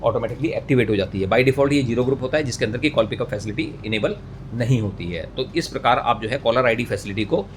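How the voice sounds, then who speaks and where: A man's voice: mid-range voice, expressive, fast. An Indian man presenting a step-by-step technical tutorial, mixing English terms into his speech and often rephrasing as he explains. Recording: clear background noise, room ambience.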